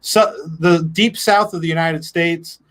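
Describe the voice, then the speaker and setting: A man's voice: a little high, fairly even intonation, measured pace, a bit bright. A man speaking as a guest on a football fan show, opinionated and wry, laughing along with the hosts. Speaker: podcast guest. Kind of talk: casual football fan chat.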